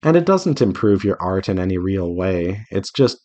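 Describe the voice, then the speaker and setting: A man's voice: low voice, big pitch swings, moderate pace, warm tone, muffled recording. A man talking casually and earnestly in a rambling, advice-giving way, like an artist chatting while working. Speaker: visual novel developer and artist hosting a drawing devlog.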